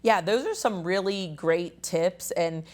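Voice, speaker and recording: A woman with a somewhat low, raspy voice hosts a health talk show. She sounds a bit hoarse today and talks in an easygoing, conversational way, leaning on little fillers as she frames her questions. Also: unhurried. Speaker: host.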